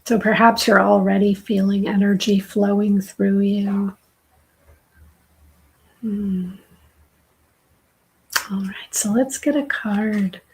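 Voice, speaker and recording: A middle-aged woman with a raspy, dry-throated voice, slowly and softly giving a live tarot reading as host. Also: medium pitch, steady tone, poor audio. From southern New Hampshire. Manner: slow, soft, soothing intuitive reading.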